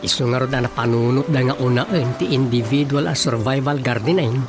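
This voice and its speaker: An actor playing a talkative village official who explains things earnestly in an Ilocano radio drama. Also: male voice, medium pitch, fairly quick, natural voice. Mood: explaining. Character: talkative male village official reporting to his chief. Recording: music under the dialogue.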